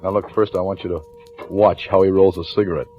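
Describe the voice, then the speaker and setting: An actor playing a shrewd, weary police lieutenant in an old-time radio crime drama, with clipped colloquial delivery. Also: male voice, low-pitched, little pitch movement, brisk, close to an everyday voice. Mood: insistent. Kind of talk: old-time radio crime drama acting, first-person narration and dialogue. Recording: dull vintage radio recording with music.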